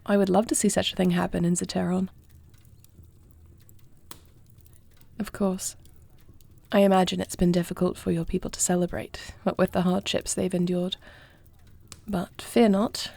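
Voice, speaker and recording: A woman playing a compassionate, self-doubting young dragon queen in a fantasy audio roleplay, speaking formally, earnestly and with soft intimacy. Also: fairly low pitch, flat delivery, moderate pace, creaky, dry recording. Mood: reassuring.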